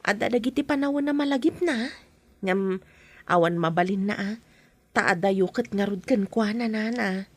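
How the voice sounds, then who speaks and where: A woman's voice: medium pitch, normal speed, pauses often, no room sound, close to an everyday voice. A woman with an Ilocano accent playing an earnest younger woman in an audio drama. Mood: subdued, respectful.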